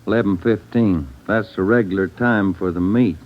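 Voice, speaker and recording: Man playing a deep-voiced, laconic detective. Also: normal speed, natural voice. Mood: matter-of-fact. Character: dry, steady male police detective partner. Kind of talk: mid-century radio crime drama acting. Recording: dull old radio broadcast.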